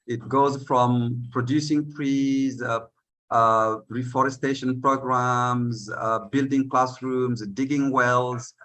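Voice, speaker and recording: A man's voice: mid-range voice, flat delivery, takes it slowly, a bit bright, low-quality recording. Hesitant man from northern Senegal. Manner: hesitant, with many fillers and "you know". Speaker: panel speaker, eco-village leader. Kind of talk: unscripted speech on a panel.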